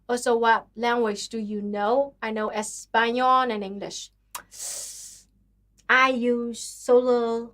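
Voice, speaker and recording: A young woman with a Vietnamese accent and a high voice, chatting on her livestream. She sounds tired and talks in a chatty, apologetic, self-deprecating way, reading messages and answering viewers. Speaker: livestream host. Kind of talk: casual livestream chat with singing.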